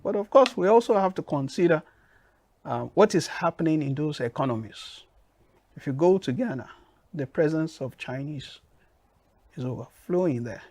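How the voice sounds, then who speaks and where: Nigerian man, high and dry. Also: expressive, normal speed, long pauses, darkish tone, no echo. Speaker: guest. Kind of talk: interview answers.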